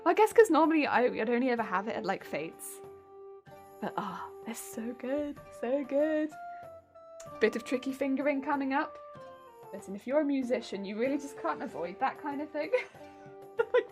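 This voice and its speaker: Giggly southern English woman streamer. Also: high voice. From Hampshire, England. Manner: giggly, rambling, chatty with frequent laughs. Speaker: craft streamer. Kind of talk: casual live-stream chat while sewing.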